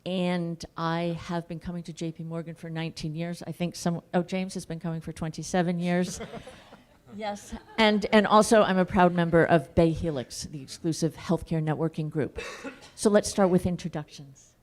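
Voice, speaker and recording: Female moderator, brisk and upbeat, slightly low voice. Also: steady tone, a little mellow. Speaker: panel moderator. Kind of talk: moderating a panel. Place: conference panel.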